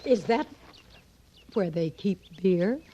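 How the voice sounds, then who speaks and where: Prim, animated frontier lady. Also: medium pitch, slow, dull audio. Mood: prim, suspicious. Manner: prim, proper, indignant. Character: prim, easily shocked, haughty teetotal frontier lady. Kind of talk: old time radio western drama acting. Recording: noisy vintage radio recording.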